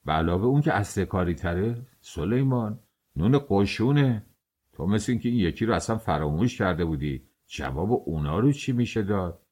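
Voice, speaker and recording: A man's voice: on the low side, lively intonation, a little mellow. A man voicing a middle-aged male master baker who gives orders to his worker in a commanding, teasing way. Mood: irritated.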